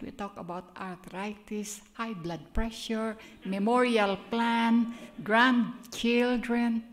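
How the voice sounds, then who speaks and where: An older woman from the Philippines with a Filipino accent, leading a prayer and preaching a church sermon in an earnest, chatty way. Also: fairly high pitch. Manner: earnest, chatty, laughs at herself. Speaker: guest speaker.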